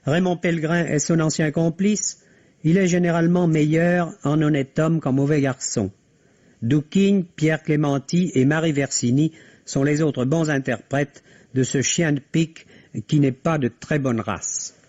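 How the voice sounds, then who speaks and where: A man's voice: a little high, fairly even intonation, measured pace, darkish tone. A man reading a scripted film review on the radio in formal, literary phrasing. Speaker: film critic. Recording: dull vintage radio recording.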